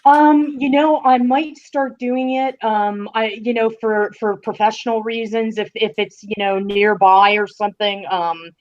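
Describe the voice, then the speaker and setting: A woman's voice: medium pitch, little pitch movement, measured pace, hardly any pauses, darkish tone. A woman speaking as a podcast guest, giving news in a casual, chatty way. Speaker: podcast guest, fan-site writer. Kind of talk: casual informative chat. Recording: slightly dull online-call audio.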